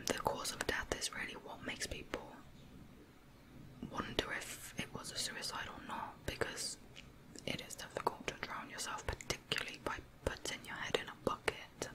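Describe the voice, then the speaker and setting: A woman narrating true crime in an unvoiced ASMR whisper, soft-spoken and informal as she explains. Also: poor audio.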